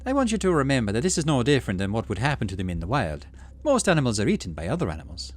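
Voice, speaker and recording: Man reading as kindly, practical aunt, animated delivery. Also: medium pitch, racing. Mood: persuasive, practical. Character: kindly, practical aunt running a colony kitchen. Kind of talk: game dialogue read aloud.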